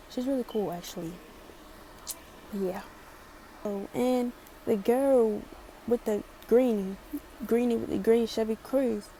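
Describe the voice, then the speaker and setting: A woman's voice: mid-range voice, gravelly, dark tone, slight background noise, poor audio. Sassy young woman with a Baltimore accent. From Maryland. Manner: chatty, rambling and sassy. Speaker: vlogger. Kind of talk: casual storytime.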